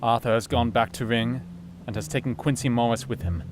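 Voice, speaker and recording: A man's voice: mid-range voice, fairly even intonation, brisk, close to an everyday voice. An actor playing a sober, compassionate Victorian doctor, narrating his diary reflectively in an audio drama. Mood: sombre, admiring.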